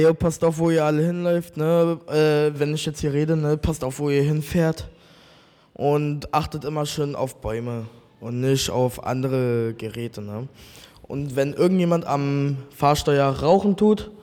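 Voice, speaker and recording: A man's voice: medium pitch, a little mellow. A young man from Berlin, talking loosely and jokingly in casual slang as the subject of a documentary. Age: young adult. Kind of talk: casual street chat.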